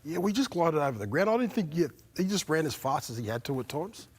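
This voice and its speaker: A man, a former player on a panel, talking in a relaxed way with lots of fillers and "mate". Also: fairly high pitch, fast, slightly rough. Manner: relaxed, says mate often, many fillers. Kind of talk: casual panel chat.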